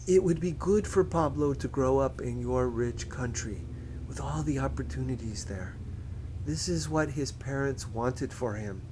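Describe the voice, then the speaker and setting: An earnest village aunt read by a man makes a measured plea in an audiobook. The voice is raised a little and carefully persuasive. A faint hum sits behind it. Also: mid-range voice, a little mellow, close to an everyday voice, rough recording. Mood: earnest, pleading.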